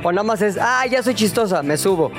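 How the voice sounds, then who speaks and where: A man's voice: sits high, lively intonation, brisk, music in the background. A man with a Mexican accent co-hosting a radio interview, asking inquisitive questions in a casual, colloquial way. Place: radio studio.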